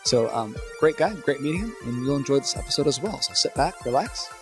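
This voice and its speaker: A man's voice: mid-range voice, animated, fairly quick, music in the background. A man hosting a casual tech podcast, joking and upbeat, with filler words. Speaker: podcast host.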